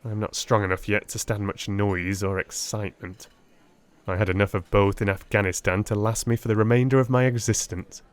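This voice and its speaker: A man voicing an earnest, blunt, war-weary Victorian army doctor in expressive audiobook dialogue. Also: fairly low pitch, fairly quick, lightly acted. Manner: forthright, expressive.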